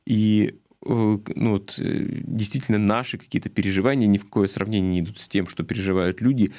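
A man from Russia delivering political commentary in an earnest, explanatory way, with a halting delivery and frequent pauses. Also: lowish voice, steady tone, dark tone. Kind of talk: spoken political commentary, semi-prepared talk.

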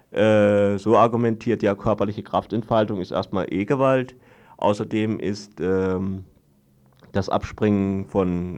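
Low-pitched man giving halting spoken report. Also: a little mellow. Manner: halting, long-winded explanatory sentences. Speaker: guest reporting on a court trial. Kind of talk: unscripted spoken radio report.

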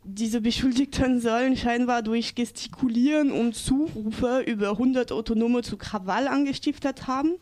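A woman with a slightly high voice presents a report on the radio. She delivers it haltingly and stumbles over words as she goes. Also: darkish tone. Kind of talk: spoken radio news report.

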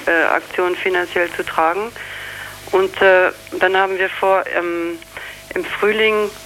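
A spokeswoman explaining in an interview, halting and searching for words. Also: lowish voice, a bit bright, a little hiss or hum, rough recording. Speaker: campaign spokeswoman being interviewed.

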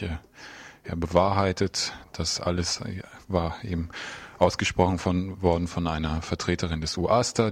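Deep, husky, halting male radio presenter. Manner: halting, stumbling. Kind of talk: live radio interview and moderation.

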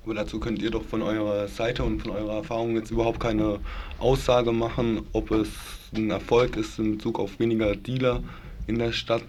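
A man's voice: fairly low pitch, flat delivery, a little fast, darkish tone. A man in a panel discussion, putting challenging questions to the guests in unscripted speech. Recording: faint background noise.

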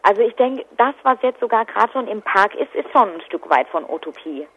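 A woman's voice: medium pitch, lively intonation, brisk, slightly rough. A woman giving spontaneous interview answers as an activist. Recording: dull, lo-fi telephone line.

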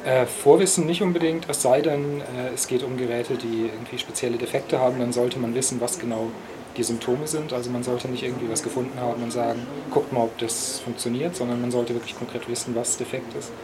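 A man's voice: medium pitch, steady tone, a little fast, noisy background. A man explaining how repairs work, thorough and full of hesitations. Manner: explains in detail with many hesitations. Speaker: repair expert. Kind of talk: interview answers.

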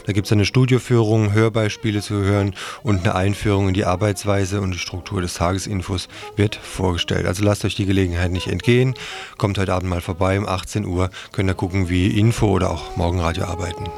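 Low-voiced man hosting community radio. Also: steady tone, fairly quick, gravelly, darkish tone. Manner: informal address to listeners. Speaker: radio host. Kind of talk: live community radio announcements.

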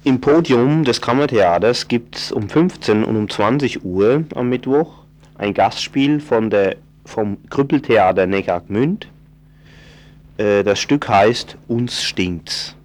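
A man presenting a radio events calendar, reading the listings in an unhurried, hesitant way with fillers and self-corrections. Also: on the low side.

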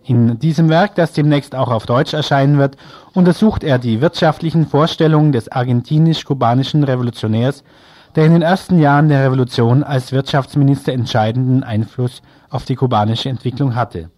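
A man's voice: mid-range voice, a little fast, darkish tone. A man presenting on local radio, reading out event announcements from a prepared text.

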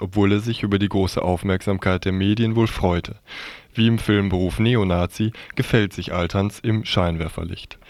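A man's voice: fairly low pitch, darkish tone, no echo. A man reading a scripted news report as a radio presenter.

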